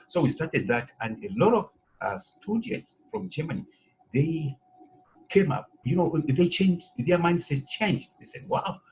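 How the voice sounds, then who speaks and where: A man's voice: a little high, a little mellow, slight background noise, poor audio. Rambling Zambian-born man in an interview. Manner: rambling, many fillers and "you know". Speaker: president of an education institute. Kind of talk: conversational interview answers.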